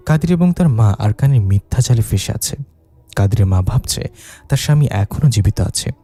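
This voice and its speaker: A man's voice: fairly low pitch, mellow. A man narrating a ghost story in a dramatized storytelling style.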